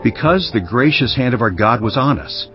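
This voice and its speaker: A man narrating scripture as a dramatized first-person account, solemn and declamatory, with deliberate phrasing. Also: medium pitch, lively intonation. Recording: dull lo-fi audio with music bed. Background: background music.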